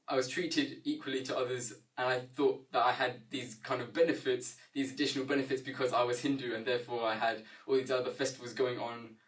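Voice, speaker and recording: Young male student, calm and matter-of-fact, recorded slightly off-mic. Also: mid-range voice, brisk, hardly any pauses, far from the mic, rough recording. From England. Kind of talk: casual testimonial.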